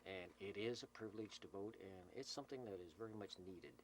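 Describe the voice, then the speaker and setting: A man's voice: fairly low pitch, fast talker. A man hosting a community CB radio roll call, giving folksy, improvised announcements in a joking, teasing, rambling way. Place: Slab City, California desert camp. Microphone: CB radio microphone, filmed while speaking.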